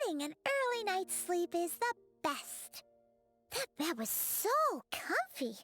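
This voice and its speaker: A woman voicing a small, bubbly and bossy fairy companion in a video game, with a squeaky childlike voice and exclamatory delivery. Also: big pitch swings, slow, exaggerated voice. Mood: chipper. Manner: speaks of herself in third person, exclamatory. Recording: music behind the voice.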